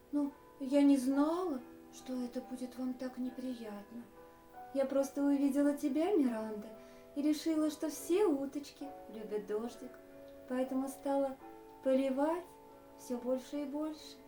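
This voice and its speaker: A woman's voice: high-pitched, a little slow, warm tone. A woman voicing a polite, apologetic rain cloud in a children's story, explaining itself meekly. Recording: roomy echo, faint music.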